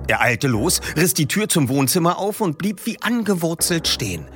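Lively, expressive male book reader. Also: medium pitch. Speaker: narrator. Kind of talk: reading a book aloud.

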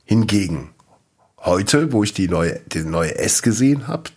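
A German man from the Eifel region chats casually as co-host of a podcast. His voice sits low and sounds slightly husky. He rambles, restarts his sentences and tells his stories with animated detail. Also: a little slow. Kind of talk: casual chat.

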